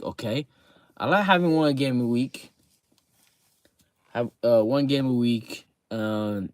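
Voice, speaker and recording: A man's voice: fairly low pitch, no room sound. A man streaming live, chatting casually with viewers about a game league, rambling with lots of fillers and repeated words, his throat sore. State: sore throat, voice getting low.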